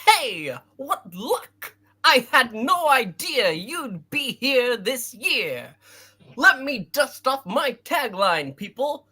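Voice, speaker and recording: A woman's voice: sits high, big pitch swings, takes it slowly, sharp tone, slightly rough, full character voice. A woman voicing an effusive male parade host from a comic book who is secretly a villain, in a grandiose, gushing manner.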